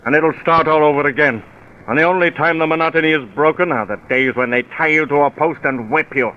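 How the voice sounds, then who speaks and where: A man's voice: a little high, fairly quick, lightly acted. A man playing a bitter, weary old convict, scornful and emphatic, in an old-time radio crime drama. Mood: bitter gloom. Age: older. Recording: tinny, noisy vintage radio recording.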